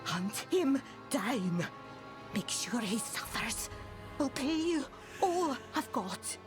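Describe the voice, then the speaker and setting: A woman acting as a grieving, vengeful mother in a dark fantasy video game, halting and bitter. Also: high-pitched, very animated, full character voice. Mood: anguished, vengeful. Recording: music behind.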